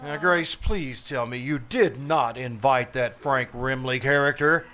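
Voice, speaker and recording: A man's voice: medium pitch, a little slow, sharp tone. A man playing a fussy, sarcastic, fretful businessman husband in a 1940s-style radio comedy. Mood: exasperated. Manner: fretful, complaining. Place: live small theater stage.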